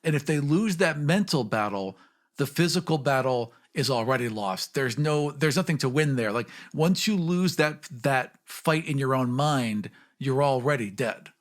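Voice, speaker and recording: A man's voice: mid-range voice, lively intonation, normal speed. A man talking casually and reflectively on a podcast panel, telling things in a vivid storytelling way.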